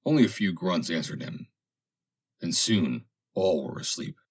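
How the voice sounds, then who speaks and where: Low husky guy narrating an audiobook, dramatic, pitch moves around a lot. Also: expressive, measured pace. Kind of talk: dramatic scripted narration of a story.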